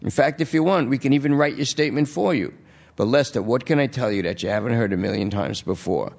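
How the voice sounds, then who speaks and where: A man's voice: medium pitch, lively intonation, fast talker, vocal fry, pitched above the performer's own voice. A man voicing a blunt male police detective who coaxes and bargains in a dry, persuasive way. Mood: coaxing.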